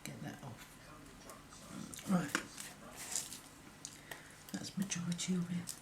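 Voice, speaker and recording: A woman with a croaky voice talking through a card-making tutorial, murmuring and often dropping to a whisper as she works. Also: lowish voice, darkish tone, slight background noise. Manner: slow, half-murmured commentary while working, often dropping to a whisper. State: croaky voice from illness. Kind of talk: hands-on craft tutorial.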